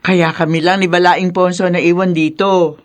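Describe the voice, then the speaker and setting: An actress playing a doting, excitable older mother in a Tagalog radio drama, exclaiming with emphasis. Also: fairly low pitch, normal speed, natural voice. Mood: chatty, content.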